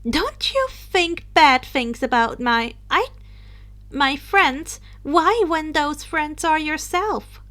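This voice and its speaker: A woman's voice: sits high, expressive, slow, a bit bright, pitched above the performer's own voice, heavily acted. A woman voicing a playful, eccentric teenage girl witch for a story, airy and teasing in manner. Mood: indignant.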